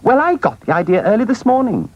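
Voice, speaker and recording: A man's voice: sits high, very animated, quick pace, dry recording, dull audio, pitched above the performer's own voice, exaggerated voice. A man voicing a small, cheeky male mole, gleeful and pleased with himself. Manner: gleeful, storytelling.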